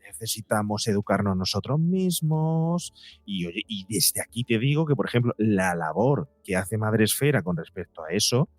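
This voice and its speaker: Male interview guest, Spain accent, lowish voice, dry recording, explains things emphatically with rhetorical questions. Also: expressive, moderate pace, dry recording, faint music behind. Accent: Spanish from Spain. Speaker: guest psychologist and podcaster.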